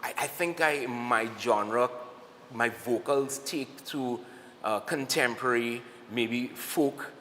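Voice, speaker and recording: A man with a Trinidadian accent, a guest on a morning TV show, telling animated stories and acting out what people said to him. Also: mid-range voice, slow pace, big-room sound, slight background noise. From Trinidad and Tobago. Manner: animated storyteller, acts out quotes. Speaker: guest, cyclist and singer. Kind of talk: casual interview chat.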